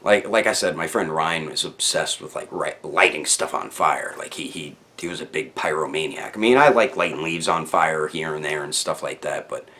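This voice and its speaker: Low-voiced man telling a casual, rambling story. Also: moderate pace, hardly any pauses, pushed and bright. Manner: rambling, slangy, with stammered repeats. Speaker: YouTube storyteller, channel host. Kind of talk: casual unscripted storytelling to viewers.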